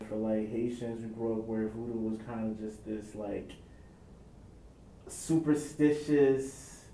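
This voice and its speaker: A young man speaks reflectively in a casual interview, stringing his thoughts together loosely. His voice is low and mellow. He sounds set back from the mic in an echoey room with a faint rumble. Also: steady tone, takes it slowly, recorded from a distance.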